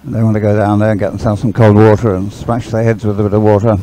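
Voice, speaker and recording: A man's voice: lowish voice, flat delivery, fast talker, mellow, poor audio. A man doing live sports commentary in a chatty, hesitant, rambling way.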